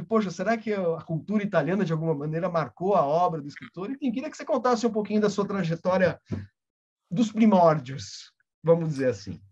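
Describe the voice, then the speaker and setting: A man's voice: on the high side, darkish tone, slightly rough. A man hosting a casual interview at a library event, chatty, with many restarts. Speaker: host. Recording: muffled lo-fi audio.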